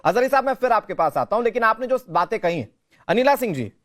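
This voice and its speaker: A man's voice: high-pitched, very animated, quick pace, fairly bright tone. A man anchoring a live TV news debate, putting pointed questions insistently and cutting in on his guests. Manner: insistent, interrupting.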